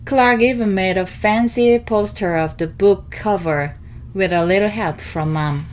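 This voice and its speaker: A woman reading a picture book aloud to children in expressive narration. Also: mid-range voice, unhurried, noisy background. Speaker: storybook reader.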